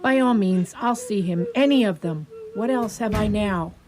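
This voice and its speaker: A woman performs a patient, careworn asylum physician in a radio play. The voice is mid-pitched, educated and measured, with a sorrowful, probing manner. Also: animated, close to an everyday voice. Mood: weary, sorrowful. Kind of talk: radio drama acting.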